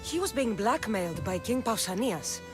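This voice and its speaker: A woman's voice: medium pitch, big pitch swings, moderate pace, over music, close to an everyday voice. A woman playing a bold, wry young Greek mercenary in dramatic video game dialogue. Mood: firm, confident.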